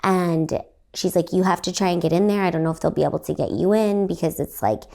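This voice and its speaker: A woman's voice: fairly low pitch, quick pace, vocal fry, dark tone. A young woman from Texas, a comedian hosting her own podcast, telling a story in a rambling way with lots of fillers. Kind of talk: casual solo podcast storytelling.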